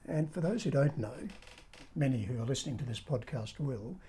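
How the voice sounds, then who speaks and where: Woman podcast host, strikingly low voice, very breathy and husky. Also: dark tone. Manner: measured, halting questions. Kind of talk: podcast interview.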